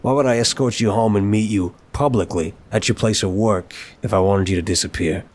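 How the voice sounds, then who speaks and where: Man acting a suave, low-voiced flirty mafia boss. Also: darkish tone, natural voice. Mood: flirtatious, charming. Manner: teasing, smooth-talking, drawn-out emphasis. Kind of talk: intimate ASMR audio roleplay.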